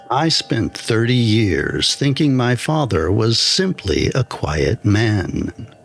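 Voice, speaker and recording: A man reading a scripted first-person horror story in a measured, deliberate way, with pauses between phrases. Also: medium pitch, husky. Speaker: storyteller, channel narrator.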